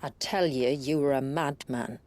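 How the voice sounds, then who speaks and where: A woman playing a solemn, dutiful village elder in a video game, speaking in a measured, formal, grave way. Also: extremely low pitch, a little mellow. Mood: wary, resolute. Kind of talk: video game cutscene acting.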